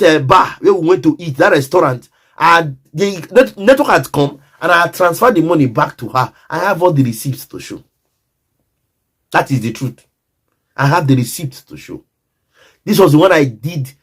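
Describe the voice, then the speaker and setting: A man's voice: fairly high pitch, slightly rough. A Nigerian man telling a personal story in a casual monologue, emphatic and repetitive, often speaking straight to the listener.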